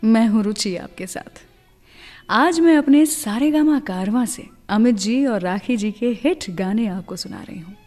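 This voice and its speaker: A woman's voice: on the high side, expressive, a little slow, a little mellow, long reverb tail, soft background music. A woman hosting a radio show, telling chatty anecdotes in a scripted style.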